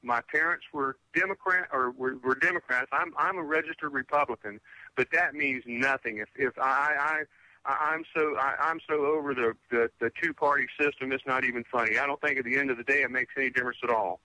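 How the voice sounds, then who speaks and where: A man's voice: mid-range voice, fairly quick, bright, forceful tone, low-quality recording. A man in his sixties calling in to a talk radio show, ranting emphatically and stammering over his words. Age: 60s. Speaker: caller. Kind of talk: phone-in talk radio call.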